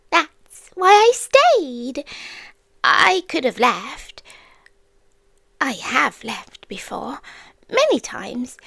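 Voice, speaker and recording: A British woman playing an ancient, possessive watcher in an ASMR roleplay, hushed and often whispering, teasingly menacing and eerily tender, close on the microphone. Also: sits high, vocal fry, no echo, full character voice. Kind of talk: ASMR roleplay, intimate dramatic monologue. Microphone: close-up ASMR microphone, dry.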